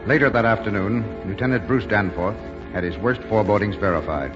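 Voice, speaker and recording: An actor as the narrator of a 1940s radio drama, reading scripted narration. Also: male voice, fairly low pitch, a little fast, over music, lightly acted. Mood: dramatic. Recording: muffled vintage radio recording.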